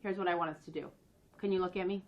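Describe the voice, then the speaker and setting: A woman acting as a patient, firm school counselor talks in a measured, coaxing manner. It is an amateur dramatization. The voice sits away from the microphone in an echoey room. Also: on the low side, fairly even intonation, muffled recording, natural voice. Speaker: school staff member counseling a student. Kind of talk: amateur acted role-play dramatization. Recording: roomy echo, distant microphone.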